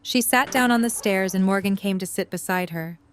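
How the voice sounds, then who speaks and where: Female narrator, scripted audio drama storytelling, medium pitch. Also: normal speed, a little hiss or hum.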